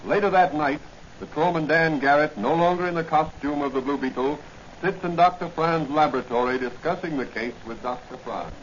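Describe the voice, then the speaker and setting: A man's voice: on the high side, a little fast. A man narrating a 1940s radio serial as its announcer, with a formal, rhetorical delivery. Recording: dull old radio recording, faint noise.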